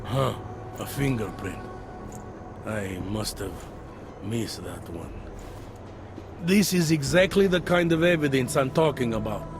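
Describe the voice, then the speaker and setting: A man's voice: mid-range voice, animated, measured pace, long pauses, music in the background. A man acting a world-weary, middle-aged police detective in a video game, with a hesitant, wry, conversational delivery. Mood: wry, musing. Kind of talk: video game cutscene dialogue.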